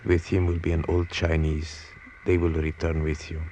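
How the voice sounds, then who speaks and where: A man playing a cold, sly smuggling boss with a gravelly voice and formal, measured speech in an old-time radio drama. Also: very deep voice, completely flat delivery, darkish tone. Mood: smooth, businesslike. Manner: measured, formal, smoothly sinister. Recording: noisy vintage radio recording.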